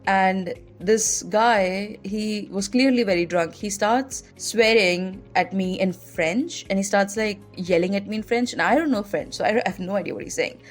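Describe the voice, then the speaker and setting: A young woman speaking with an Indian English accent tells stories in a loose, chatty way, with "like" scattered through her sentences. She talks as a podcast host, with soft music faintly underneath. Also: mid-range voice, moderate pace, few pauses. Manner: chatty, heavy use of "like" fillers. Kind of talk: casual personal storytelling.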